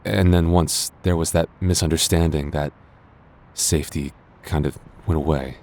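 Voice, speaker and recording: A man's voice: a bass voice, steady tone, normal speed, darkish tone. A man playing a teasing, easily embarrassed childhood friend confessing love in a boyfriend roleplay, stammering and hesitant. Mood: embarrassed, sincere. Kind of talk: boyfriend roleplay audio drama.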